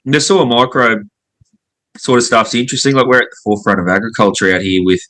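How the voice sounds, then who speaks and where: A man's voice: mid-range voice, fairly even intonation, fast, fairly bright tone. A man with an Australian accent chatting casually as an interview guest, laid-back and slangy. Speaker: pub owner, interview guest. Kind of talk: casual chat.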